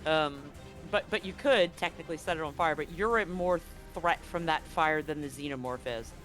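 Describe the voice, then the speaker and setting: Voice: mid-range voice, moderate pace, fairly bright tone. Game master with mid-range voice over music. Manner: informal, swears casually. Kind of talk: narrating a tabletop roleplaying game on a live stream.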